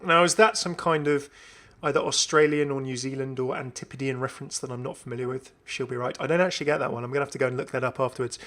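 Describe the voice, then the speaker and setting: A man hosting a gaming video, giving casual, enthusiastic commentary in a creaky voice as he browses game items. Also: medium pitch, steady tone, fast, few pauses, a bit bright. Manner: chatty, enthusiastic. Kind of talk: casual gameplay showcase commentary.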